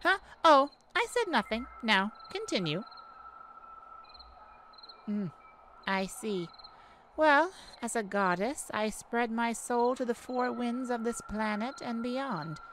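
Slow-paced, playful goddess of light, voiced by a woman. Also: on the high side, lively intonation, fairly bright tone, a little hiss or hum. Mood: curious, lofty. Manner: theatrical, teasing, mock-offended, with pauses. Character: playful, haughty yet kindly goddess of light. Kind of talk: ASMR audio roleplay addressed to listener.

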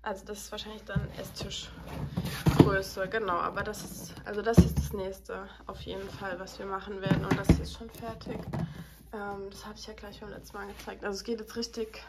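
A woman's voice: medium pitch, flat delivery, moderate pace, heavy background noise. A young woman chatting casually to the camera as a vlogger at home, rambling a little with fillers. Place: apartment living room and kitchen.

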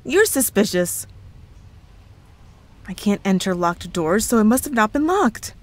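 A woman's voice: mid-range voice, sing-song, measured pace. A woman playing a cheerful, evasive young girlfriend who is secretly a witch, in a playful ASMR roleplay with feigned innocence. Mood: casual, evasive. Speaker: audio roleplay voice actress. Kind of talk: ASMR roleplay, scripted one-sided dialogue.

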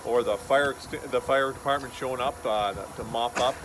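A man in his forties giving an informal, reflective devotional message to camera like a pastor, in a halting, earnest way. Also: mid-range voice. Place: outdoors beside a road and small wooden chapel. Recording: outdoor recording with loud vehicle noise. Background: loud outdoor ambience, passing vehicles.

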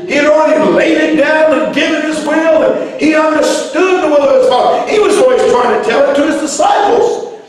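Man, middle-aged, pastor, high voice, fervent sermon, distant, long hall reverb. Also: a little fast. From New Zealand, raised in Iceland. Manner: impassioned preaching, rising to shouts. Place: large church hall with high ceiling. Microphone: handheld mic, heard from a distance in the room. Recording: echoey hall from far off.

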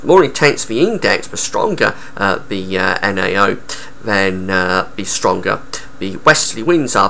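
A young man with a croaky, gravelly voice and a sore throat talks through weather charts. His tone is low but bright, and he stops often on halting fillers. He is recorded on a webcam in a small home room with faint background hiss. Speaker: weather forecaster.

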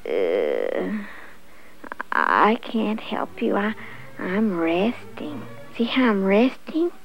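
A woman's voice: medium pitch, huge pitch swings, very slow, vocal fry, exaggerated voice. A woman voicing a lazy, evasive male pig in a children's story, always repeating excuses. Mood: lazy, drowsy. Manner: repetitive, excuse-making. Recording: dull lo-fi sound with faint music.

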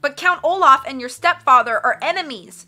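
A woman's voice: high voice, expressive, normal speed, sharp tone, voice pushed up. A woman voicing a principled, firm teenage big sister in a storybook, speaking with earnest urgency. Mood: indignant.

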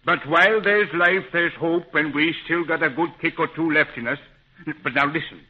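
An actor playing a good-natured, protective pilot in a 1930s radio serial, speaking in a folksy, hesitant manner. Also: male voice, fairly high pitch, normal speed, close to an everyday voice. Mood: easygoing, encouraging. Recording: muffled, dull 1930s radio recording.

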